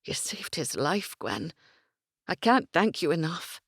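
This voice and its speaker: A woman voicing a brooding Highland warrior, speaking in a grave, hushed way. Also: sing-song, takes it slowly, gravelly, husky, dry recording, studio quality, exaggerated voice. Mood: sorrowful.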